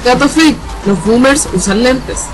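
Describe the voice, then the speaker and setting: A woman's voice: a little high, measured pace, over music, rough recording. A woman with a Chilean accent talking casually to teammates over game voice chat. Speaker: player.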